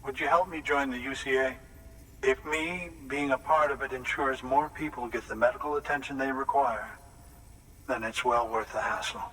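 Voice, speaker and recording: A mid-pitched, bright male voice from an actor plays a kindly older doctor in a video game. He is courteous and sincere, and there is faint noise behind him. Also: fairly even intonation, normal speed, natural voice, rough recording. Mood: pleased, earnest. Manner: courteous, earnest. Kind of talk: video game cutscene acting.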